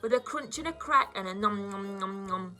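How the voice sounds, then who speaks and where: A woman, a primary school teacher, reading aloud to young children in an expressive storybook style with dramatic emphasis. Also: mid-range voice, moderate pace, soft background music.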